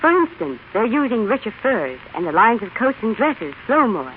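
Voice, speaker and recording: An actress playing a charming, confident young fashion editor, polished and persuasive, in an old radio advertisement. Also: female voice, fairly high pitch, sing-song, moderate pace. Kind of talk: scripted 1930s radio advertisement. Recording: tinny, hissy old radio recording.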